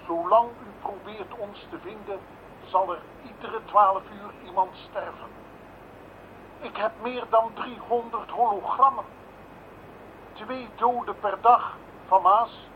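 A man's voice: high-pitched, fairly even intonation, slow, long pauses, a little hiss or hum. An actor playing a cold, threatening male blackmailer who delivers ultimatums. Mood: menacing. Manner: menacing, clipped ultimatums. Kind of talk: radio play acting. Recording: tinny lo-fi old radio recording.

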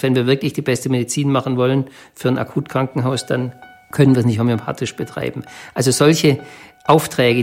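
Thoughtful man, medium pitch, faint music behind. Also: darkish tone. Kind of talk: reflective interview recollection.

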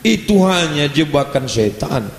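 Animated preacher in an echoing hall. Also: male voice, a little high, unhurried, vocal fry, a little hiss or hum. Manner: lively, animated delivery with playful asides. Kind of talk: religious lecture. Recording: roomy hall echo, faint ambience.

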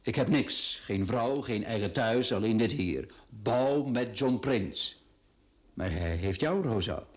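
An actor playing a smooth-talking, flirtatious, bossy boss in a radio play. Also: male voice, lowish voice, slow. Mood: familiar, flattering. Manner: teasing, flattering, bossy.

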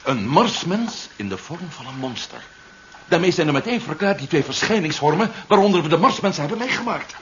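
A man's voice: fairly high pitch, sing-song, a little fast, a bit bright. An actor playing a wary, practical male space adventurer in a 1970s radio play, speaking earnestly. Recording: dull, noisy vintage radio recording.

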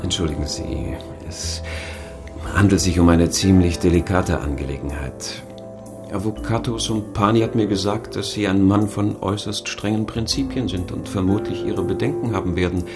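An actor playing a smooth, oily go-between in a radio crime drama, overpolite and hesitant. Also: male voice, low voice, fairly even intonation, moderate pace, over music. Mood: ingratiating. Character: smooth, oily, polite male go-between with a shady offer.

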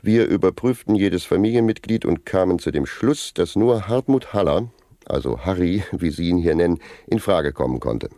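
An actor playing a pedantic senior narcotics officer in a satirical radio drama, lecturing in formal, bureaucratic language. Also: male voice, low voice, lively intonation, moderate pace, mellow, dry recording, studio quality. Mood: officious, didactic. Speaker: interviewed police official.

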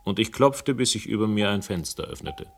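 A man playing a brooding investigator who narrates a radio crime drama in an atmospheric, deliberate, literary manner. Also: on the low side, gravelly, a little hiss or hum. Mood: ominous. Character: brooding male investigator narrating his noir adventure. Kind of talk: scripted first-person radio crime narration.

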